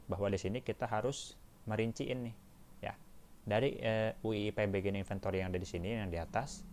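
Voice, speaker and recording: A man's voice: fairly low pitch, darkish tone, slight background noise. An Indonesian man giving an informal step-by-step tutorial as an accounting instructor, often addressing his listeners directly. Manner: addresses listeners as friends, frequent checking tags. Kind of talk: tutorial walkthrough, informal teaching.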